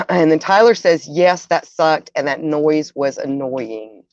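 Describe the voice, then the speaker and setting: A woman's voice: sits low, takes it slowly, darkish tone, no room sound. A middle-aged woman hosting a livestream, chatting casually and musingly, speaking straight to her listeners. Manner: conversational, musing, addresses listeners directly. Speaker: livestream host. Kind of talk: casual livestream chat, reading out viewer comments. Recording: dull, dry lo-fi audio.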